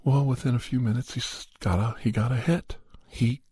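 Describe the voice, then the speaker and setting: A man playing a serious doctor, telling a horror story in a husky voice with deliberate, halting pauses for an ASMR podcast. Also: medium pitch, steady tone, dark tone, no room sound, clean studio sound. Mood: measured, suspenseful. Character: male doctor recounting a creature hunt, measured and serious. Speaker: storyteller narrator.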